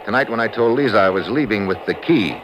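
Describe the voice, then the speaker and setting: An actor playing a cool, tough American special agent, wry and clipped, in 1950s radio drama style. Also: male voice, on the low side, fast talker, natural voice. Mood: brisk, matter-of-fact. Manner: sardonic, clipped hard-boiled delivery. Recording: noisy vintage radio drama recording.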